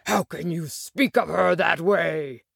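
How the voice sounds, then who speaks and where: A big, jolly green giant from a storybook, acted out by a woman. The speech is extremely slow and drawn out with a gravelly creak. The manner is booming, teasing and theatrical, recorded close and dry. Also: no room sound, exaggerated voice. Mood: indignant.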